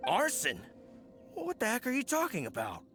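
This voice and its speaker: A man's voice: very high-pitched, huge pitch swings, normal speed, full character voice. A man voicing a dim but eager young apprentice thief in a video game, stammering and folksy. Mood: defensive. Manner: hesitant, stammering, folksy slangy speech. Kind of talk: English video game voice acting. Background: game music.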